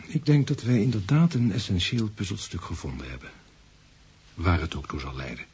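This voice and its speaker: Low-voiced, measured doctor. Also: male voice, big pitch swings, darkish tone, slightly rough, exaggerated voice. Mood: analytical. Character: calm, probing doctor, measured and analytical. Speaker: actor in a radio play. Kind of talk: Dutch radio drama acting. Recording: noisy radio play recording.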